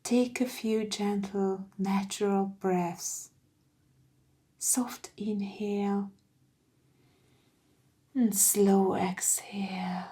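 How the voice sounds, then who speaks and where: A woman guiding a meditation in a soft, soothing, gentle voice with breathy phrase endings. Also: medium pitch, steady tone. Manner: soothing, gentle, unhurried with long pauses. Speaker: meditation guide. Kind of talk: guided meditation narration.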